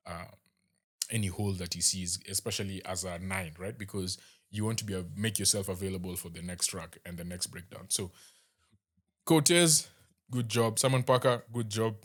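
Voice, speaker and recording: Casting note: young Kenyan man, lowish voice, relaxed rugby recap host, lots of fillers. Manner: chatty, halting, with many fillers and restarts. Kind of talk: casual match review.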